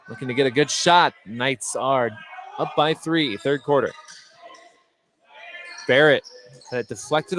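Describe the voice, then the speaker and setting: A man's voice: mid-range voice, a bit bright. A man doing live play-by-play radio commentary of a basketball game. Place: indoor high school gym during a game. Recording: noisy gymnasium with bouncing basketballs. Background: game noise, bouncing basketballs.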